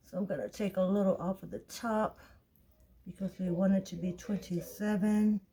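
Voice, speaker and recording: A woman with a tired, raspy voice, talking casually through a soap-making tutorial and thinking aloud as she weighs ingredients. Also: mid-range voice, fairly even intonation, mellow. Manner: slow, chatty, thinking aloud while weighing. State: tired voice, clearing throat. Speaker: soap maker.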